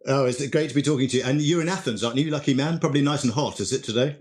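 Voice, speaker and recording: A man's voice: medium pitch, rapid-fire, darkish tone. A man hosting a podcast interview, asking chatty questions and answering with short affirmations. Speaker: podcast host. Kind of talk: casual interview.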